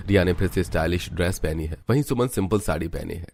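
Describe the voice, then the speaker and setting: A man's voice: deep voice, quick pace, vocal fry, a little mellow, slight background noise. A man narrating a scripted cartoon family story, setting each scene as a storyteller.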